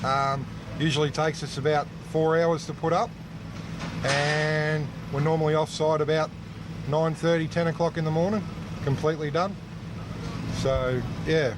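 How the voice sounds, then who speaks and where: A man chats informally as an interviewed event worker. His voice is a touch high, and distinct urban outdoor noise runs behind it. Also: fairly even intonation, measured pace. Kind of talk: casual interview chat. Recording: noisy outdoor location with vehicle ambience.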